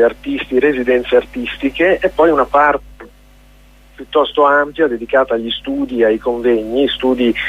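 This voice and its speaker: An Italian man with a husky, slightly rough voice at a middle pitch. He speaks as a festival director being interviewed on the radio, haltingly, circling back on words. The recording is dull and lo-fi. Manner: hesitant, halting, with repeated words.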